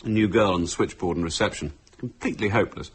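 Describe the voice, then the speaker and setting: An actor playing a breezy, glib husband with a jovial, flippant manner in a radio play. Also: male voice, low-pitched, fast talker, breathy edge, heavily acted. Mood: glib, joking. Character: breezy, glib husband smoothly explaining himself.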